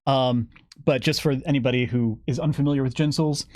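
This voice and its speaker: A man's voice: medium pitch, steady tone, fairly quick, a little mellow, no echo. Man chatting about games, close dry sound. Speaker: guest game developer. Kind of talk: casual explanatory chat about a game.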